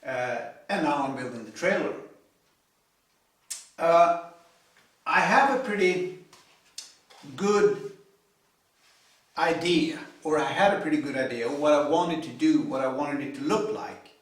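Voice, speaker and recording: A man in his fifties with a Swedish accent, a native Swedish speaker, giving an informal, halting explanation to camera as a hobby builder. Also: on the high side. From Sweden. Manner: halting, deliberate. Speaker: hobby builder presenting own video series. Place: indoor home garage workshop. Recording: echoey garage, distant microphone.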